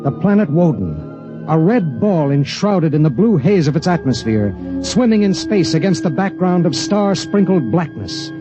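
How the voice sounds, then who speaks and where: An actor playing a weary spaceship pilot in an old radio drama, narrating in a grave, measured way and speaking tersely. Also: male voice, on the high side. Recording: dull vintage radio broadcast with music.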